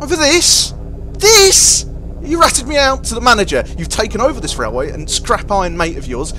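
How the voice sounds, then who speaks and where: A woman's voice: mid-range voice, very animated, quick pace, bright, forceful tone, slight background noise, rough recording. A woman voicing a spiteful, boastful little male tender engine with a sneering manner. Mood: angry.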